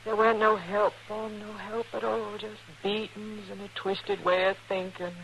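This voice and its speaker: An actress playing an older, weary and protective frontier mother in an old radio western, with a creaky rural American voice and plaintive delivery. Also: medium pitch, flat delivery, moderate pace, fairly bright tone. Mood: grieving, resigned. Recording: noisy vintage radio recording.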